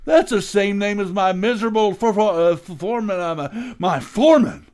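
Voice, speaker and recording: A man's voice: sits high, moderate pace, darkish tone, natural voice. An actor playing a blustering, scheming working-class father in a live old-time radio comedy, emphatic and easily flustered. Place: radio studio, live performance. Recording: background noise in live radio studio.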